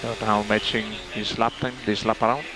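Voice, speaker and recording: Man, race engineer, terse radio calls, clipped, technical, clear vehicle noise. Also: fairly low pitch, little pitch movement, fairly quick. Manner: clipped, reading out numbers. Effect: team radio. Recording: noisy motor racing radio link.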